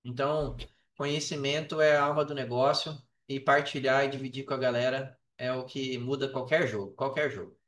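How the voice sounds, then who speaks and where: A man's voice: mid-range voice, fairly even intonation, normal speed, fairly bright tone. A Brazilian man speaking as a podcast guest, giving casual advice in an informal, slangy, emphatic way with repeated phrases. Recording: roomy echo, distant from the mic.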